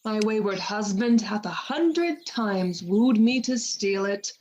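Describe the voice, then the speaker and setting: An actress playing a dutiful, wry wife, musing deliberately in Shakespearean verse for a radio play. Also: female voice, mid-range voice, expressive, a little mellow, slight background noise.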